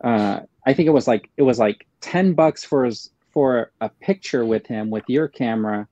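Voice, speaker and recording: A man telling anecdotes in casual conversation, hesitant and halting, on a slightly dull lo-fi recording. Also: mid-range voice, dark tone, no room sound. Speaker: panelist on a fan livestream.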